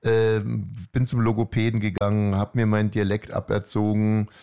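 A middle-aged man co-hosting a podcast, telling anecdotes in casual, halting banter with a wry, mock-grandiose touch. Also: on the low side, monotone, warm tone, smooth. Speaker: podcast co-host.

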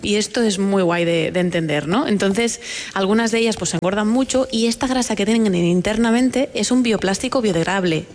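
A woman's voice: mid-range voice, fairly quick, a little mellow. A woman with a Spanish accent from Spain, explaining things plainly and informally as an interview guest. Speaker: scientist entrepreneur, award-winning guest.

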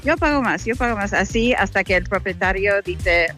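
A woman's voice: medium pitch, animated, moderate pace, pushed and bright, soft background music. A young American woman, a native English speaker, chatting casually in an interview and slipping English phrases in now and then. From United States.

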